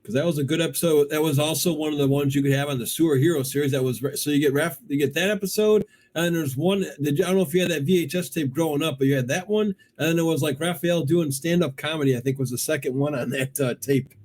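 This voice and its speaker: A man with a somewhat high voice hosts a casual chat. He speaks quickly and comes across as curious and talkative, like someone running an informal countdown podcast. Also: a little mellow. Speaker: podcast host. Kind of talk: casual countdown discussion of cartoons.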